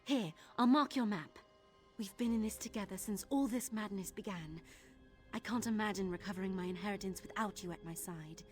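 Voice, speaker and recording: A woman playing an earnest young thief in a video game, with a heartfelt, expressive delivery. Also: medium pitch, brisk, soft background music, close to an everyday voice. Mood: eager, wistful. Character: earnest young woman thief seeking her late father's treasure. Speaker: game character. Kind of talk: dramatic game dialogue.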